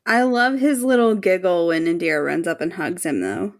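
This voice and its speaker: A woman's voice: lowish voice, lively intonation, moderate pace, a little hiss or hum. A woman chatting casually as a podcast co-host. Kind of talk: casual chat.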